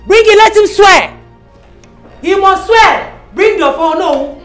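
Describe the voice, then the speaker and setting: A woman's voice: medium pitch, very animated, unhurried, faint music behind. An actress playing an imperious royal woman who commands and insists. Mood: commanding. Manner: commanding, insistent, repeating demands. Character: imperious royal woman backing the missing heir.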